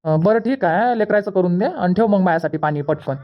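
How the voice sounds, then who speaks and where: A woman voicing a brisk, doting village father in a cartoon comedy, coaxing in Vidarbha Marathi. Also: mid-range voice, expressive, lo-fi sound, close to an everyday voice.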